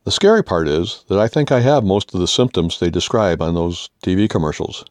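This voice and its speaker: Older American male essayist, low voice, humorous scripted read, dry close sound. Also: animated, a little fast, dry recording. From United States. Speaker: author reading own humorous essay. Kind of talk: scripted humorous first-person narration.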